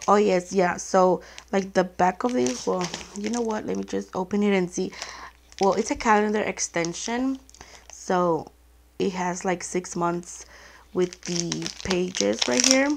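A woman vlogger chatting casually through a craft haul, her voice a bit off from being sick, rambling and excitable with lots of fillers. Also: medium pitch, measured pace, creaky, a little hiss or hum. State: sick, voice sounds off. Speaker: planner vlogger. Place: indoors at a tabletop, hands only on camera.

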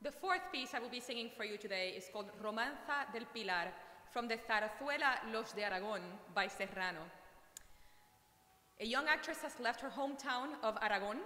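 A woman's voice: medium pitch, sharp tone. A young woman with a Puerto Rican accent, an opera singer chatting about her work and introducing her pieces. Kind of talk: casual interview talk and song introductions. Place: large wood-panelled hall with organ. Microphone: standing studio mic. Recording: echoey hall with long reverb.

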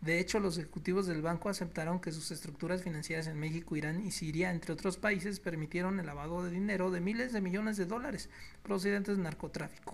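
A man with a Mexican accent giving an indignant, sarcastic news commentary monologue as a political blogger. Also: high-pitched. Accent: Mexican Spanish. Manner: speaks to listeners as "you all".

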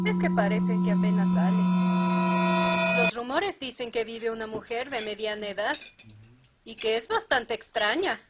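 A woman's voice: fairly high pitch, lightly acted. A woman playing a polite, gossipy neighbour in an anime fan dub, with a Latin American accent. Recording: clearly noisy amateur recording.

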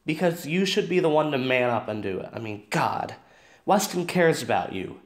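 A man's voice: fairly high pitch, lively intonation, normal speed, lightly acted. A man playing a dry, principled teenage boyfriend in an amateur audio drama. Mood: indignant.

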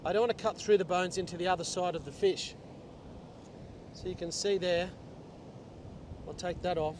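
Aussie bloke, middle-aged, higher voice, walks you through beach fishing step by step, lapel mic with wind and waves in it. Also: male voice, moderate pace. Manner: steady step-by-step explaining while working. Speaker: fishing instructor. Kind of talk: hands-on fishing tutorial. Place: open beach by the surf.

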